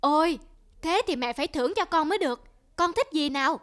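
A woman voicing a patient, gently instructive mother in a cartoon. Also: high voice, animated, fairly quick. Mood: pleased, curious. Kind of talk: cartoon dialogue and storytelling.

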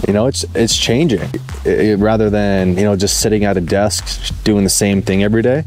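Young guy pitching his sales crew, laid-back and eager, low mellow voice. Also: brisk, slight background noise. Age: young adult. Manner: enthusiastic, salesy pitch with filler "you know". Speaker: guest, solar sales team leader. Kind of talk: casual chat. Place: outdoors on grass by a van.

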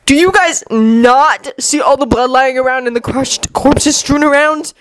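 A woman's voice: on the high side, very animated, a little slow, slight background noise. A woman voicing an exasperated, disbelieving teenage ninja boy who speaks in a demanding way, in a story reading. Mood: incredulous. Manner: demanding, incredulous.

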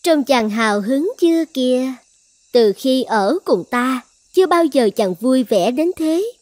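Woman acting a proud dragon goddess, high voice. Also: lively intonation, measured pace, darkish tone, no echo. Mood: caring. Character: proud young dragon goddess, devoted then vengeful wife. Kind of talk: animated fairy tale dubbing.

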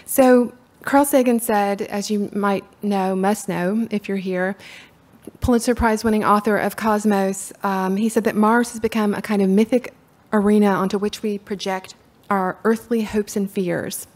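A middle-aged woman from Appalachia with a soft-spoken Appalachian accent, giving a conference talk from a podium in a hall. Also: medium pitch, fairly even intonation, measured pace. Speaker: conference speaker. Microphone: podium mic from far camera.

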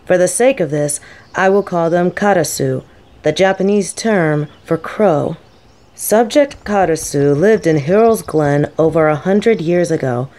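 A gentle female professor, played by a woman in an audio roleplay. Her voice sits slightly low, with a warm, relaxed tone. She is scholarly and thoughtful, choosing formal words. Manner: soft-spoken, thoughtful, formal wording.

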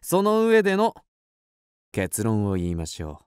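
Man playing a theatrical self-assured detective, animated delivery. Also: lowish voice, clear, resonant tone, no room sound, clean studio sound. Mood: intense, revealing. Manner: theatrical, deliberate explaining. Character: cool, self-assured young male amateur detective. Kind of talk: Japanese radio drama acting.

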